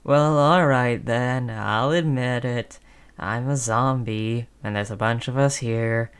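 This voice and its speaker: A woman's voice: extremely low pitch, slow pace, smooth. A woman playing a sly, chummy female zombie in an audio roleplay, coaxing in a falsely friendly, hesitant conversational way. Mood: sly, confessing.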